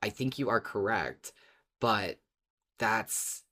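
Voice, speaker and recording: A man's voice: lowish voice, animated, slow, bright, forceful tone. A man co-hosting a casual fan podcast, chatting in an enthusiastic, agreeable way. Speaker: podcast co-host.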